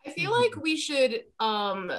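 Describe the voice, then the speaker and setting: A woman's voice: high voice, very animated, takes it slowly. A woman chatting casually as a member of a script reading group. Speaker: script club participant. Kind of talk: casual chat.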